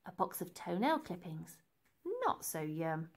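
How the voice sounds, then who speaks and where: A woman reading a children's book aloud in an animated way, with dramatic emphasis for young listeners. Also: fairly low pitch, measured pace. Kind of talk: expressive storybook narration.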